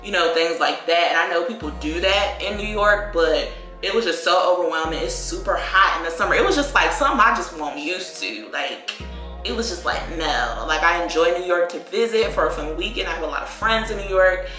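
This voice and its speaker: A woman's voice: fairly low pitch, sharp tone. Chatty young woman in an echoey room. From Virginia, USA. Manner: chatty, drawn-out phrases, lots of likes and y'all. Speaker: beauty vlogger. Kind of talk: casual get-ready-with-me chat. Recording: echoey room with faint music.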